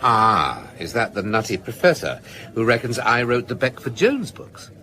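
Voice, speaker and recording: A man's voice: medium pitch, sing-song, moderate pace, sharp tone. A man playing a self-assured, drily flippant British crime novelist in a naturalistic radio drama. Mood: evasive. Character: smooth, self-assured middle-aged male crime novelist.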